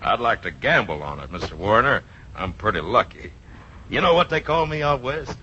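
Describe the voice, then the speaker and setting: An actor playing a naive, eager western bumpkin with a folksy American country drawl in radio comedy. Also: male voice, on the low side, big pitch swings, fairly quick, sharp tone. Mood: hearty, sheepish. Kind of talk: 1930s-40s radio comedy acting. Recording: muffled, noisy old radio broadcast.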